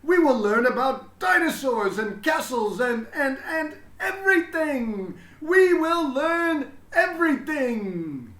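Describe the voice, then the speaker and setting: Here is an exuberant, school-obsessed principal from a children's storybook. He booms proudly in a raised, swooping voice and stretches his words for emphasis. The room echoes around him. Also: very animated, fairly bright tone, exaggerated voice. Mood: gushing delight. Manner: booming, drawn-out, emphatic delivery.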